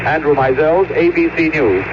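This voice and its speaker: A man's voice: fairly high pitch, moderate pace. A man giving a scripted 1970s radio report as a foreign correspondent. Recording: muffled noisy radio correspondent feed.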